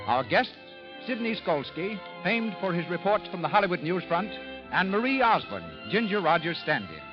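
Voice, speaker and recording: An American man announces in grand 1930s radio style, his fairly high voice swinging with lively emphasis. The old broadcast recording is dull, with music clearly behind him. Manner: formal, emphatic showman's delivery. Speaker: radio show announcer and sponsor pitchman.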